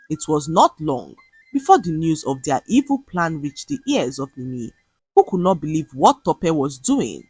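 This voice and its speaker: A woman narrating a scripted dramatic folktale as a storyteller, with a slightly husky voice. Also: sits low, dry recording, poor audio.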